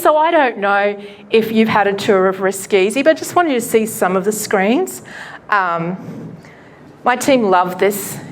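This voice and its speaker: A woman pastor giving a live presentation to an audience at a launch event, loud and chatty, often laughing and poking fun at herself, her microphone cutting in and out. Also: medium pitch, a little hiss or hum. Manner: loud, chatty and self-mocking; laughs often while talking. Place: event venue.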